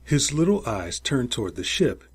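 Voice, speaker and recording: A male audiobook narrator with a markedly husky, breathy voice. He reads in a dramatic, suspenseful style, his pitch moving up and down freely to carry the prose. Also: moderate pace. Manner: expressive, suspenseful storytelling. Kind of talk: dramatic scripted story narration.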